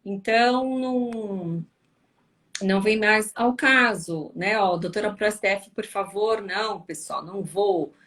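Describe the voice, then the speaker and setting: A woman's voice: medium pitch, a little slow, fairly bright tone. A tired woman from Brazil hosting a casual live stream, answering viewers with lots of tag questions. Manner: chatty, frequent tag questions.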